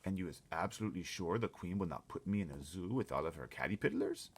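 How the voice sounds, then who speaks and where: A man's voice: deep voice, lively intonation, fast talker. A man voicing a big friendly giant, simple and timid, with quaint ungrammatical speech in a storybook read-aloud. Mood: worried, puzzled.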